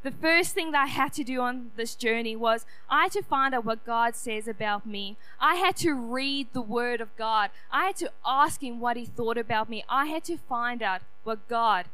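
Booming high-voiced young woman preacher. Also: normal speed, few pauses, a little hiss or hum, low-quality recording. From South Africa. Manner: loud, booming, earnest. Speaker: youth church speaker. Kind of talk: personal testimony sermon. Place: church hall.

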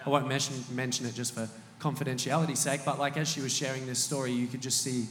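A man's voice: medium pitch, little pitch movement, fast talker, fairly bright tone, soft background music. A man who leads a small church group, sharing stories in a casual panel chat with lots of ums and restarts. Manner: storytelling, hesitant fillers. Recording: echoey hall with long reverb.

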